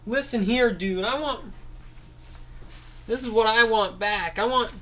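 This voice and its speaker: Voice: mid-range voice, a little slow, sharp tone, voice pushed up. A storyteller voicing a bossy toy robot with a trunk who demands his vacuum back. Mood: demanding. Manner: demanding, boastful.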